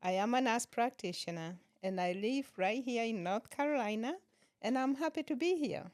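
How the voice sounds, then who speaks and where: A middle-aged woman from Kenya, a nurse practitioner, talking as a podcast guest in a relaxed way and laughing often. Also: on the high side, moderate pace, dry recording, clean studio sound. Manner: laughs often while telling stories. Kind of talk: relaxed interview conversation.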